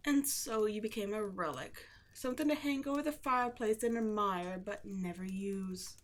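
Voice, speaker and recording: A woman playing a seductive, condescending demon queen in a scripted ASMR roleplay, gloating at the listener and dropping at times into breathy whispers. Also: mid-range voice, natural voice. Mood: mocking pity. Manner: slow, taunting, condescending, drops into breathy whispers.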